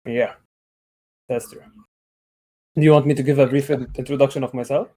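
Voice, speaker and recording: A man of thirty-one from Lebanon, speaking conversationally as a guest in a debate call, often pausing mid-sentence. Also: medium pitch, steady tone, warm tone. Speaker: guest caller, atheist debater.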